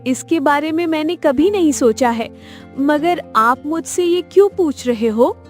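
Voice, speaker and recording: A woman's voice: sits high, expressive, unhurried, faint music behind. A woman playing an anxious, tender mother goat in a cartoon fable, with an earnest, pleading manner. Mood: gentle, polite. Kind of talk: Hindi cartoon story acting.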